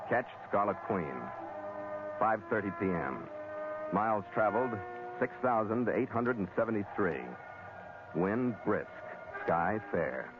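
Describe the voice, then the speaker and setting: An actor playing a tough, wry sea captain and narrator in a 1940s radio drama, with a measured, hard-boiled delivery. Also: male voice, on the low side. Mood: formal. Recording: tinny, band-limited 1940s radio recording, orchestral music.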